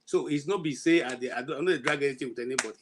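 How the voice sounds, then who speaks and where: A man's voice: medium pitch, racing, background noise. A man with a Nigerian accent hosting a talk show and arguing heatedly, insistent and indignant, often repeating himself. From Nigeria, Igbo-speaking southeast. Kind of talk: heated panel argument.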